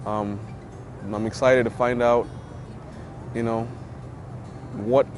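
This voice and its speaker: A man's voice: medium pitch, little pitch movement, takes it slowly, soft background music. A man in recovery speaks reflectively and hopefully about his future. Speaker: program client. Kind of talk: testimonial interview.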